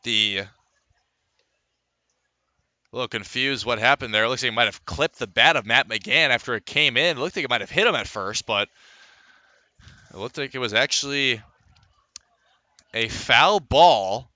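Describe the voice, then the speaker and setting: A man's voice: mid-range voice, unhurried, pauses often, bright, forceful tone, no echo. An American man calling a baseball game as a live radio play-by-play broadcaster. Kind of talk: live radio sports commentary.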